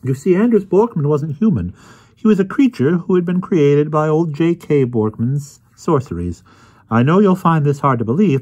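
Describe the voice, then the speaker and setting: A man voicing a bouncy, arrogant know-it-all gentleman lecturing theatrically in an audiobook story. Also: on the high side, breathy edge, voice pushed up. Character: smug, bouncy, know-it-all older gentleman explaining mysteries.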